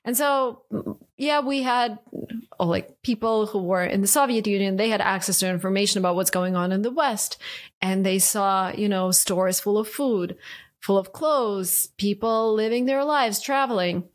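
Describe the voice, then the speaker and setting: A woman in her thirties from Russia, speaking English with a Russian accent as a podcast guest, animated and casual with many fillers, recorded at home on a desk mic. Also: medium pitch, normal speed. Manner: animated, many likes and restarts. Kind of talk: casual interview. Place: home study with bookshelves, indoors. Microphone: studio mic on desk, earbuds.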